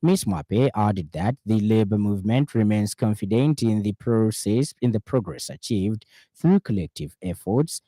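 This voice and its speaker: Zambian male radio news presenter, formal read, low relaxed voice, dry studio sound. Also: dry recording. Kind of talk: scripted news bulletin reading.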